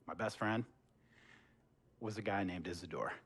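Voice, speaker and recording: Eccentric wealthy boss, middle-aged, controlling and slick, theatrical storyteller for a game cutscene. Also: male voice, deep voice, moderate pace, husky, exaggerated voice. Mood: reflective. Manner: theatrical, storytelling, swinging from hushed to emphatic. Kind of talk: dramatic game cutscene monologue.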